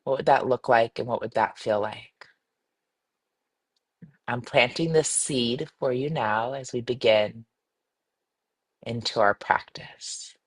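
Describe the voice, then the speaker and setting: A woman leading a guided meditation as a teacher, soothing and unhurried, giving gentle instructions with pauses between phrases. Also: a bass voice.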